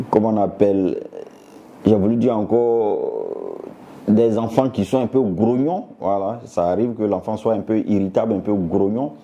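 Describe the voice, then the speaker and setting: A man speaking as a doctor, explaining a health topic to viewers in a didactic way and pausing to search for words. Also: on the low side, warm tone, highs cut off. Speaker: doctor giving a health talk.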